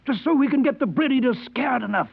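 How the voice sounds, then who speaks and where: Smooth scheming demagogue, tinny old radio. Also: male voice, very high-pitched, lively intonation, quick pace, no room sound, heavily acted. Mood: urgent, fervent. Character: scheming male demagogue, smooth, menacing, fanatically manipulative. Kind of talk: 1950s radio drama acting. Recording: thin, dry old radio broadcast recording.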